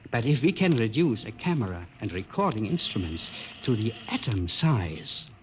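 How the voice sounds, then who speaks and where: An earnest elderly physicist. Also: male voice, on the low side, big pitch swings, moderate pace, a little hiss or hum. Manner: formal, careful explanations. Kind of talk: 1950s radio drama acting.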